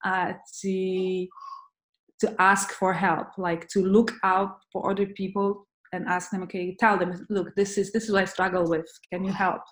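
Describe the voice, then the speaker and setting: A woman's voice: mid-range voice, flat delivery. A woman from Poland talks earnestly as an interview guest, often restarting her sentences as she thinks aloud. Her voice sits in the middle of the range, and a roomy echo hangs around it. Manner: earnest, halting with many restarts. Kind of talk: conversational interview.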